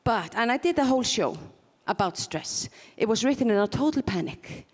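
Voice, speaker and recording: A woman's voice: on the high side, animated, normal speed, slightly rough, long reverb tail. A woman from Iceland with a mixed Icelandic, Scottish and English accent, doing self-mocking stand-up comedy on a conference stage and laughing at her own jokes. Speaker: stand-up performer.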